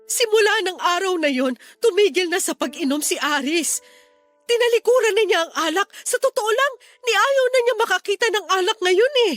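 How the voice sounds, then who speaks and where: Desperate Filipino wife, high voice, earnest begging, emotional radio drama, music underneath. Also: female voice, animated, moderate pace, a bit bright, no echo. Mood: tearful begging. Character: pleading, desperate wife and mother, younger sister-in-law.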